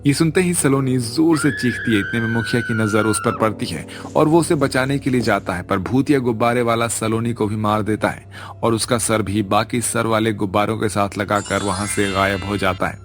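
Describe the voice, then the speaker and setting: A man's voice: fairly low pitch, normal speed. A man narrating a scripted cartoon story as the storyteller. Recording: music under the voice.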